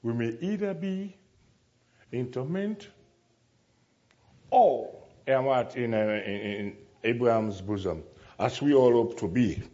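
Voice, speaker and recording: A man's voice: mid-range voice, takes it slowly, pauses often, warm tone, highs cut off. A man preaching a sermon to a congregation, speaking emphatically, repeating phrases and putting rhetorical questions to his listeners.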